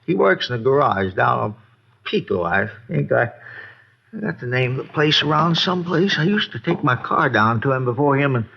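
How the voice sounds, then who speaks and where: A man's voice: mid-range voice, moderate pace, creaky, slight background noise. An actor playing a folksy, boozy older American man who rambles and repeats himself in an old radio drama. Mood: evasive, rambling. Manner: rambling, repetitive, folksy, excitable. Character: rambling, boozy, folksy male neighbor witness. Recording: dull, lo-fi old radio recording.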